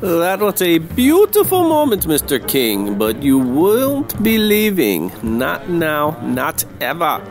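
A man voicing a taunting, theatrical demonic villain in an old-time radio horror play, with drawn-out menace. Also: high voice, pitched above the performer's own voice, exaggerated voice. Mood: gloating menace.

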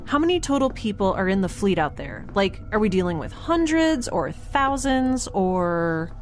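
A woman's voice: medium pitch, big pitch swings, moderate pace, faint music behind, lightly acted. Woman playing quirky, chatty young space heroine. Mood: eager, wry. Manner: chatty, wry, rambling. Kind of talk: audio drama acting.

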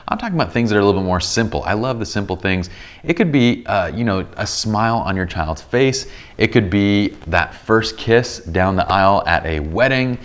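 Man, 30s, very low deep voice, photography instructor, enthusiastic, studio sofa set. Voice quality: deep voice, a bit tired from a long day. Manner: enthusiastic, explains with many examples and restarts. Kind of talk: casual studio tutorial talk.

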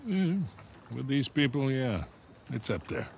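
A man playing a gruff, older fortune hunter in a video game, dry and teasing. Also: mid-range voice, sing-song, measured pace, a little mellow, a little hiss or hum. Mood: wry, guarded. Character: gruff, wry old fortune-hunting mentor. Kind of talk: video game cutscene acting.

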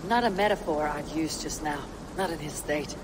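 Casting: male actor as loyal Norse raider for a game, high-pitched for a man, firm, blunt, noisy ambience behind. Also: moderate pace, close to an everyday voice. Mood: firm, urging. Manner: firm, direct. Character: resolute, blunt Viking warrior, loyal friend. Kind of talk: video game cutscene acting.

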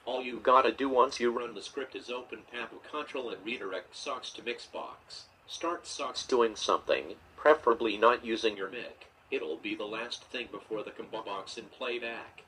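A man's voice: medium pitch, normal speed, few pauses, bright, forceful tone. A synthetic male text-to-speech voice reading instructions aloud as a screen reader. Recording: distant, noisy playback from computer speaker.

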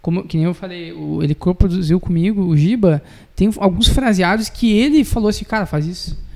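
A man in his thirties with a southern Brazilian accent, chatting casually and haltingly into a close studio microphone. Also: high voice, vocal fry, mellow. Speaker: podcast guest, musician. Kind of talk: casual podcast chat. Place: small podcast studio. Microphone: close studio mic on boom arm.

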